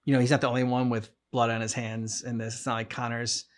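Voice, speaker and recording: Halting, casual male discussion host. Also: mid-range voice, level tone. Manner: halting, rambling, searching for words. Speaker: reaction show host. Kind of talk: casual discussion of a game's story.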